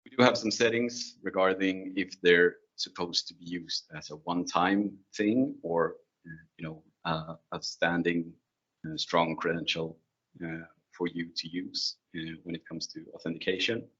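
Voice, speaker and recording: Deep-voiced non-native English-speaking man, dull audio. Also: steady tone, a little slow, a bit bright, breathy edge. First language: not English. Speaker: presenter, IT security consultant. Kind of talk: informal technical presentation with slides. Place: online video call screen share. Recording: dull, lo-fi online meeting audio.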